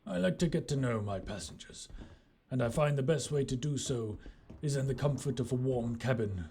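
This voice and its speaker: A man's voice: mid-range voice, animated, normal speed, mellow, a little hiss or hum, natural voice. A man playing a roguish, mercenary skyship captain with wry, courteous, old-fashioned speech in an immersive audio roleplay. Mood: genial, courteous. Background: ambient sound effects.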